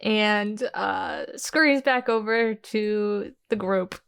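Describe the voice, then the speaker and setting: A woman's voice: medium pitch, takes it slowly, creaky, a bit bright, clean tone. A woman playing a tabletop roleplaying game, describing her character's actions hesitantly. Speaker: player.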